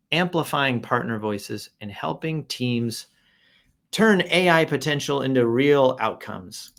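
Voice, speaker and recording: A man from the American South with a noticeably creaky, gravelly voice at an average pitch. He hosts casually, reading aloud and riffing on it. His delivery rambles playfully and leans hard on emphasis. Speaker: show host. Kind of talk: casual commentary while reading posts aloud.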